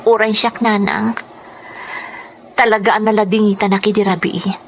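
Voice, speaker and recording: A woman acts a young mother in a radio drama. Her delivery is gentle, earnest and full of feeling, at a medium pitch, with faint noise behind. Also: flat delivery, moderate pace, close to an everyday voice, rough recording. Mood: regretful.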